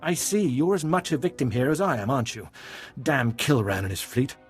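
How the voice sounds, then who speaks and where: Weary starship captain, husky mid-range voice, firm, commanding, game cutscene, faint music behind. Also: male voice, mid-range voice, very animated, fairly quick. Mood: grudging, resigned. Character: gruff, weary, pragmatic male warship captain. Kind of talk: video game voice acting.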